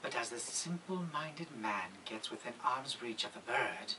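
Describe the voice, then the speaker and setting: A man playing the storyteller of an audio drama, reading scripted narration in a measured way. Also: medium pitch, animated, far from the mic, noisy background, lightly acted.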